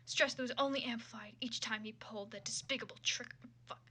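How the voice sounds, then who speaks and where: A woman with a fairly high, bright and forward voice reads fan fiction aloud. Her delivery is casual and irreverent, mixing the prose with loose, sweary commentary of her own. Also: steady tone, a little fast, breathy edge. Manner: irreverent, casually profane asides.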